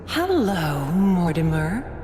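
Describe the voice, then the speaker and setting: Cold, low-voiced villainess. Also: female voice, sing-song, slow, noisy background. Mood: mocking. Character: cold, scornful villainous female cultist, zealous. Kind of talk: dramatic video game acting. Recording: echoey hall reverb, music behind.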